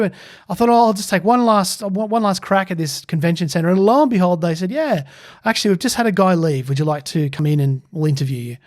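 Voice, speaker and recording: A man co-hosting a podcast, his voice sitting a bit higher than most men's. He tells stories in a loose, rambling way, circling back with fillers and restarts. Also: a little mellow. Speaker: podcast co-host. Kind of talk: casual storytelling chat.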